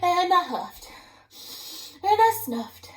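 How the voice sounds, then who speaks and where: A woman voicing a folksy male wolf who excuses himself while narrating a storybook tale, in an expressive, dramatic manner. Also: very high-pitched, slow, voice pushed up, exaggerated voice. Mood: self-justifying.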